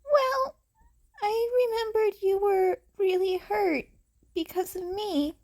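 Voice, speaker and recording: Woman doing a little girl, very high pitch, timid, earnest, guilt-ridden, hesitant, fiction reading. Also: takes it slowly, darkish tone. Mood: tearful, guilty.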